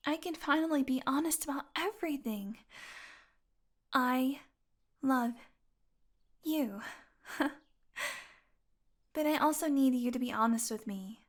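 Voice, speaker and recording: A woman playing a possessive fantasy healer in an audio roleplay, tender and secretly bloodthirsty, speaking softly and deliberately. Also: high voice. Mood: lovestruck, intense. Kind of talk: intimate audio roleplay monologue.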